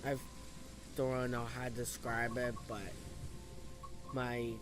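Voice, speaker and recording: A man's voice: mid-range voice, completely flat delivery, measured pace, over music. A young man from the US East Coast commentating casually on a game, hesitant with many fillers, his voice a little off from coughing. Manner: hesitant, lots of fillers and restarts. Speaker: game streamer.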